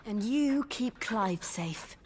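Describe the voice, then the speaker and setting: A woman playing a gentle, caring young noblewoman warrior in a video game, composed and soft-spoken. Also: fairly high pitch, animated, slow pace, background noise. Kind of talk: video game drama acting.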